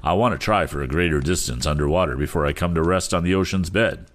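A man's voice: low-pitched, fast talker, close to an everyday voice. A man voicing an aged inventor, a determined father giving orders in a storybook adventure. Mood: firm, deliberate.

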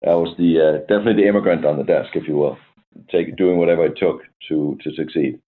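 A man from Denmark, a company executive answering interview questions in a measured, hesitant way with many ums. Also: rumbling low, steady tone. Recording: tinny phone-like line.

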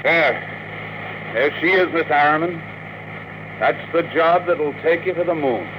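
An actor playing a confident, steady rocket pilot in a classic radio drama. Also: male voice, on the high side, unhurried, lightly acted. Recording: noisy old radio recording.

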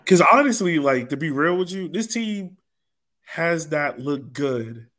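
A man's voice: on the high side, lively intonation, unhurried, fairly bright tone. Casual male sports podcast host.

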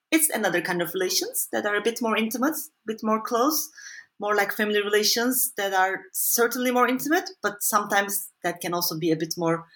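Woman, turkish accent, author being interviewed, thoughtful and hedging, mid pitch. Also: a bit bright. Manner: thoughtful, hedging, frequent small laughs. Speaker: author and anthropologist. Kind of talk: interview answers.

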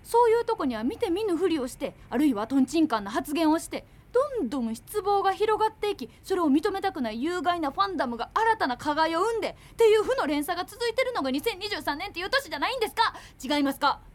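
An actress playing a blunt, impatient young woman with a Kansai accent in a naturalistic radio drama, speaking briskly and colloquially. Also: extremely high pitch, sing-song. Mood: heated, accusing. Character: blunt, impatient young woman moving house, fed up with culture talk.

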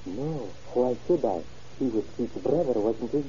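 A man's voice: mid-range voice, lively intonation, measured pace, mellow, natural voice. A man playing a subdued, grieving brother in an old-time radio drama. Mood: subdued grief. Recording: muffled old radio recording with hiss.

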